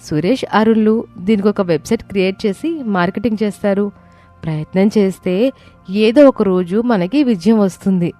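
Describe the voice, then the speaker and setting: A resourceful young daughter-in-law played by a woman in a moral story. Her voice is soft-edged and mellow at a middle pitch, encouraging in manner, over music. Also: normal speed, natural voice. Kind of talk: moral story character acting.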